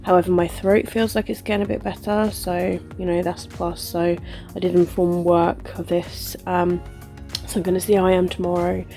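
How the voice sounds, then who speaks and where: A woman's voice: lowish voice, level tone, normal speed, dark tone, soft background music. A young woman chatting casually to camera in a vlog, congested and stuffy-nosed, rambling with fillers and restarts. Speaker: vlogger.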